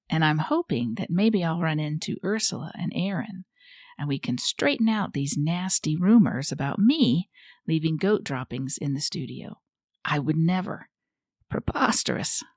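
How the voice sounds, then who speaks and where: A woman's voice: sits low, no echo, studio quality, heavily acted. A woman playing a fussy, easily indignant goat reporter who hosts a scripted podcast in a prim, chatty, theatrical way. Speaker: podcast host.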